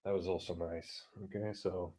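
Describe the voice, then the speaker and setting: Deep-voiced man ranting quietly. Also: level tone, normal speed, husky. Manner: keeps his voice down, talking quietly; crude, blunt, heavy swearing. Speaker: vlogger. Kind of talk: casual profane rant. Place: home room with neighbors through the walls.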